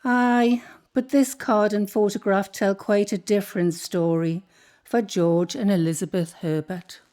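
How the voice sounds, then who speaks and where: A woman playing an older local storyteller in a radio play, gently explaining the past. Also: mid-range voice, fairly even intonation, lightly acted. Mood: reflective. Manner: unhurried, explaining.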